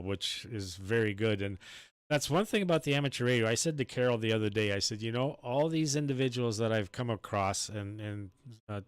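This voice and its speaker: A man chatting casually as an amateur radio operator, telling things in a halting, anecdotal way. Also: mid-range voice, clear, resonant tone, no echo.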